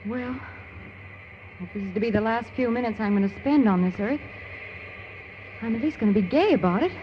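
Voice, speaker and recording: Actress playing a nervous spirited gal in an old radio show, high lively voice, dull recording. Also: female voice, a little fast, slight background noise, lightly acted. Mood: resigned. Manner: wary, wry. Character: nervous but spirited young woman companion. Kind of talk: 1940s radio acting.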